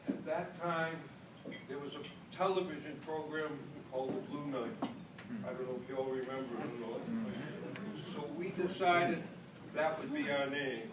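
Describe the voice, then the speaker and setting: Older man with a Maine accent, folksy after-dinner storyteller, slightly high voice, far off in an echoey hall with loud noise. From Boston. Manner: folksy, self-deprecating, jokes with the crowd. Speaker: speaker at a club convention. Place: large hall with an audience.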